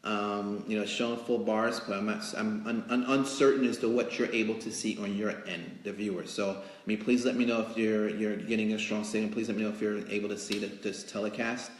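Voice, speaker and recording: A man with a fairly low voice hosts an improvised live show from a roomy, echoing indoor space. He talks casually and haltingly, rambling along to fill time. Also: fairly even intonation. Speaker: magazine editor hosting a live interview show. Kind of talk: casual improvised livestream hosting. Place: indoors in a cake studio.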